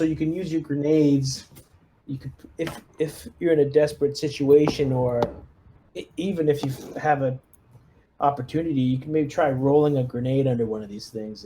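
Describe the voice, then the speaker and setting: A man with a mellow, medium-pitched voice speaks as a player in a tabletop roleplaying game. He is casual and a little hesitant, thinking aloud as he goes. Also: a little hiss or hum, low-quality recording. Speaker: tabletop player playing a military lieutenant.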